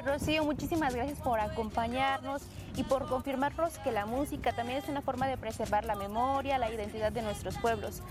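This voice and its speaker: A woman host with a fairly high voice puts polite, formal questions to her guest. She leans on small fillers as she phrases them. Music runs continuously beneath her speech. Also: brisk, hardly any pauses. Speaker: interviewer and host. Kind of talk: interview questions. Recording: music playing under the voice.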